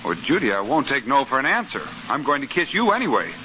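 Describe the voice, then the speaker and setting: Earnest lovestruck suitor male actor, mid-pitched and melodramatically varied, on a faintly hissy vintage broadcast. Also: sing-song, fast, natural voice. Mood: pleading. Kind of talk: 1940s radio comedy acting. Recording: muffled hissy 1940s radio recording.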